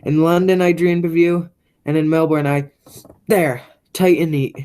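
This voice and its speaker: A woman's voice: low voice, steady tone, a little slow, mellow, clean tone. A young woman playing a menacing, murderous man in a radio play. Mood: cold, sinister. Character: menacing murderous man back after twenty years away. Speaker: high school theatre actor.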